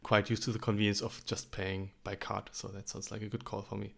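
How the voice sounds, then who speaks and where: A man's voice: on the low side, flat delivery, a little fast, vocal fry, a bit bright. A young adult man with a German accent, co-hosting a casual podcast chat and explaining things in an easygoing way. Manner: easygoing, explanatory, fond of "like" and "actually". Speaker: podcast co-host.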